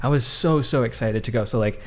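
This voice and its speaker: Loud thirty-something guy telling a story on a podcast, lots of likes and restarts. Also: medium pitch, brisk. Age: 30s. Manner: loud, animated, many fillers and restarts. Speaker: podcast co-host. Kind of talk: casual chat, storytelling.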